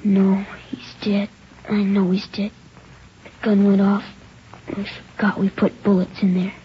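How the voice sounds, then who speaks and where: A performer playing a guilt-stricken nine-year-old boy in an old radio drama, halting, breathy and pleading. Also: mid-range voice, completely flat delivery, lightly acted. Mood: guilty, scared. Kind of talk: 1950s radio drama acting. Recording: dull, noisy old radio recording.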